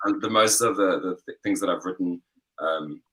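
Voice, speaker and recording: A young man, a singer-songwriter guest, chatting in an interview over a video call in a relaxed, rambling way full of fillers. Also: deep voice, normal speed, low-quality recording. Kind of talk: casual interview chat.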